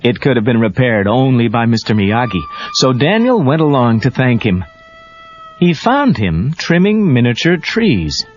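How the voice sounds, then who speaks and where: A man's voice: mid-range voice, sing-song, measured pace, a little hiss or hum. A man reading scripted storybook narration as the narrator of a read-along tale. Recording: dull cassette tape with music.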